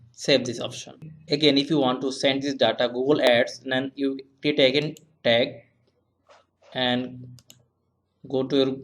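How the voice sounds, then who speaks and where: Male tutorial presenter, young adult, mid-range voice, halting non-native English, repeats himself through simple instructions. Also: mid-range voice. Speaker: web analytics tutorial presenter. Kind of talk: step-by-step software tutorial narration.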